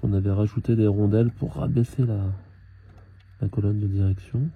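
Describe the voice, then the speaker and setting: A man talking casually and haltingly through hands-on work, thinking aloud as he goes. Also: on the low side, fairly even intonation, creaky, slightly rough, no echo, narrow, tinny audio. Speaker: car mechanic hobbyist filming his own repair. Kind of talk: casual hands-on tutorial narration.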